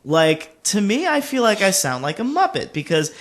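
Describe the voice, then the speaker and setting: A man's voice: high voice, wildly swooping pitch, moderate pace, fairly bright tone. A man in his late thirties co-hosting a podcast, talking casually in a candid, self-deprecating way. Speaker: podcast co-host, singer and music teacher.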